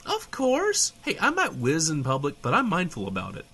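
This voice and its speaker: A man's voice: fairly high pitch, huge pitch swings, normal speed, very noisy. Irreverent, swooping-voiced man as a flustered radio host. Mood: deadpan. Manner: sarcastic, hedging, profane. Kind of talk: comedic audio drama, bantering dialogue.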